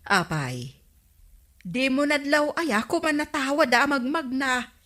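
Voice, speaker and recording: Nosy barrio gossip, slightly high bright voice, Ilocano accent, teasing comic delivery. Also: female voice, animated, unhurried. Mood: gossipy. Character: nosy, gossiping village woman. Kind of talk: broadcast comedy drama acting.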